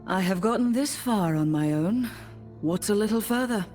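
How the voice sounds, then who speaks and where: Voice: medium pitch, animated, normal speed, a little mellow, faint music behind, close to an everyday voice. A performer playing a stoic, self-reliant necromancer adventurer in a fantasy game, terse, formal and reserved.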